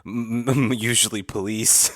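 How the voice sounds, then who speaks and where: A man's voice: on the low side, fairly even intonation, a little slow, crowd noise. A man co-hosting a podcast, chatting informally about history with some swearing. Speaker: podcast co-host. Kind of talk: casual history discussion.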